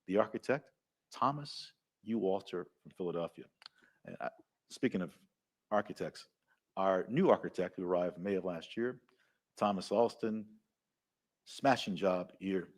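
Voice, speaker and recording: A man's voice: sits low, lively intonation, normal speed, pauses often. A man from the American South with a Southern accent, giving enthusiastic live commentary full of fillers and anecdotes.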